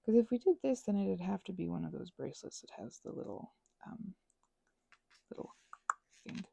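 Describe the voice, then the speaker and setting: A woman rambling casually as she thinks aloud over a craft, halting and murmuring to herself, with playful asides to listeners. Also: medium pitch, lively intonation, vocal fry, mellow, slightly rough, no echo, muffled recording. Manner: halting, drifts into murmurs and self-talk. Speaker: ASMR and audio creator.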